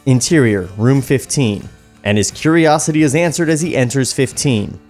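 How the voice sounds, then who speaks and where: A man's voice: medium pitch, moderate pace, a little mellow, faint music behind. A man hosting a podcast, reading screenplay stage directions aloud into a studio microphone. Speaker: podcast host and script narrator.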